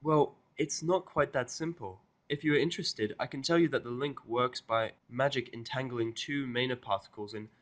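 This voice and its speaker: A man's voice: medium pitch, moderate pace, hardly any pauses, voice pushed up, natural voice. A man voicing a studious, easily flustered young female unicorn pony who speaks in formal, pedantic phrases for a fan fiction audio drama. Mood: patiently explaining.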